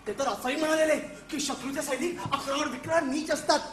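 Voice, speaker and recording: An actor playing a naive, excitable young male soldier in a stage comedy, eager for war and often repeating his words. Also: extremely high pitch, brisk. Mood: earnest, indignant. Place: theatre stage. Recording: echoey, noisy stage recording.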